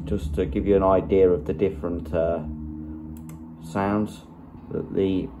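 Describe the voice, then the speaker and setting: Male channel host, casual reviewer, lowish voice with little inflection, conversational and unscripted, phone mic. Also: steady tone, measured pace, background noise. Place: small room indoors. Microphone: phone microphone, held behind the camera.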